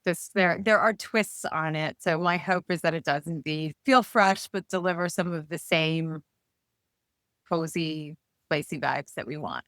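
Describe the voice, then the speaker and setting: Clear-voiced woman chatting as a guest author. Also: low-pitched, unhurried, fairly bright tone, dry recording, studio quality. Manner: enthusiastic, effusive. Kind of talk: casual interview chat.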